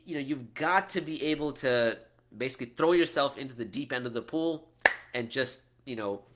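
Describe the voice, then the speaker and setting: A man's voice: medium pitch, fairly bright tone. A middle-aged man, an Islamic teacher, answering questions in a casual talk, often ending points with "right?". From United States. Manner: conversational, frequent "right?" tag questions and restarts.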